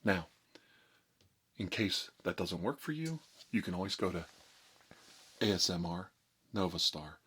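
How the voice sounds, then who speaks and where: A man presenting a tongue-in-cheek ASMR tutorial as a mock doctor, speaking slowly and deliberately with a deadpan delivery. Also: low-pitched, husky. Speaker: ASMR video host.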